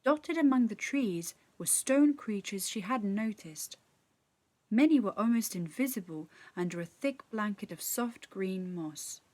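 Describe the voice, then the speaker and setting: A woman narrating a children's story in an expressive, measured, dramatic storytelling style. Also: mid-range voice, dry recording. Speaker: actor reading a story.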